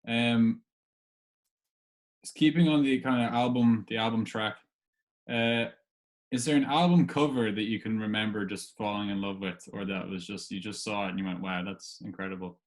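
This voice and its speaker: A man hosting a casual interview, asking questions in a hesitant, halting way. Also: fairly low pitch, steady tone. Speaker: podcast host, interviewer.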